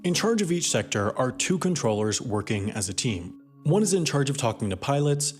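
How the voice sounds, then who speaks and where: A man reading scripted explainer documentary narration in a measured, explanatory way. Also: mid-range voice.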